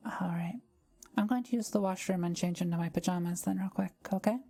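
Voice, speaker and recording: Woman playing a gentle witch, low mellow creaky voice. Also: a little fast. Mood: warm, hospitable. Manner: formal, old-fashioned wording, gentle and considerate. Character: kind, gentle forest witch, soft-spoken and hospitable. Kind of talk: intimate audio roleplay, addressing the listener.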